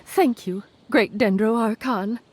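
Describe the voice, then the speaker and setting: A woman's voice: on the high side, slow pace, a little mellow, slight background noise. A woman voicing a polite, dutiful female official in a fantasy video game, formal and deferential. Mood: dutiful, businesslike. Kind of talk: scripted video game voice acting.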